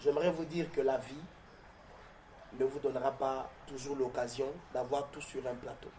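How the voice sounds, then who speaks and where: A pastor from southern Congo-Brazzaville, whose first language is Mbembe, answering interview questions in a declamatory, preacherly way with frequent hesitations. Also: male voice, mid-range voice, little pitch movement, moderate pace, dark tone, slight background noise. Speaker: pastor and guest. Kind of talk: interview answers turning to a prayer.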